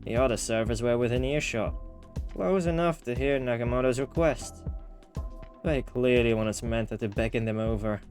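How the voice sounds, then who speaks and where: A man reading a visual novel aloud as an expressive storyteller, slipping into casual, wry asides. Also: mid-range voice, normal speed, soft background music.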